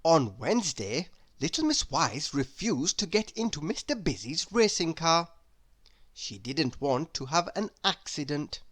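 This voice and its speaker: A woman narrating a children's story in a measured, expressive read-aloud style. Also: sits low. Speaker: storybook reader.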